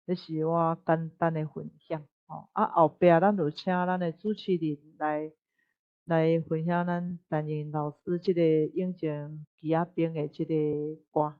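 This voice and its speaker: A woman's voice: low voice, little pitch movement, a little slow. A woman with a Taiwanese accent sharing explanatory remarks at a forum, heard through narrow, call-like sound. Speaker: forum speaker.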